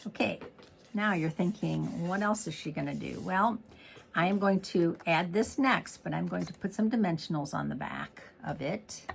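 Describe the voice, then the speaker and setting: A middle-aged woman talks through a card-making project step by step, chatty and easygoing. Her voice sits a little low and has a gravelly, hoarse edge, since she is losing it. A faint hiss runs under the recording. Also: normal speed. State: losing her voice, throat clearing. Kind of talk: casual crafting tutorial.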